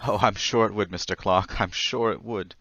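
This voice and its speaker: A man's voice: fairly low pitch, steady tone, normal speed, sharp tone, no echo, lo-fi sound. An actor playing a timid, troubled stocking salesman in an old-time radio drama, hesitant, stammering and deferentially polite. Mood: meek, grateful.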